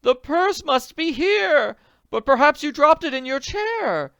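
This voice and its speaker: A reader voicing an excitable woman in a storybook who keeps crying out in alarm. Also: mid-range voice, moderate pace, clean tone, dry recording, muffled recording, voice pushed up, natural voice. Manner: exclamatory, cries out. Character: excitable woman, the worried lady's friend.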